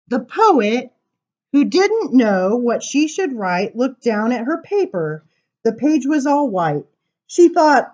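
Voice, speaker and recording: A woman reading poems aloud to children as a storytime librarian, with animated, sing-song emphasis and casual asides. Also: on the high side, lo-fi sound.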